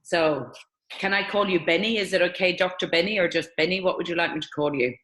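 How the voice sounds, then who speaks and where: A woman's voice: lowish voice, a little fast, a bit bright, rough recording. A middle-aged woman with an Irish accent, hosting a conversational interview. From Ireland.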